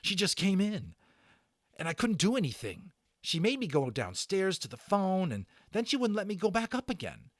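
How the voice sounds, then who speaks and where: A man acts out a frantic young boy in a storybook, high-pitched and babbling. His words tumble out urgently and pleadingly, swinging up and down. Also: huge pitch swings, normal speed, dry recording, clean studio sound, full character voice. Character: frantic young British schoolboy, excitable and pleading.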